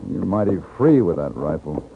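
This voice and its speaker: Cool dry gunslinger, american accent, low voice, old 50s radio western, thin tinny sound. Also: male voice, very animated, gravelly, slight background noise, exaggerated voice. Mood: dry, unruffled. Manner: measured, dry, unruffled. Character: calm, dry-witted traveling gunfighter on horseback. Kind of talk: 1950s Western radio acting with first-person narration. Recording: tinny 1950s radio recording with music.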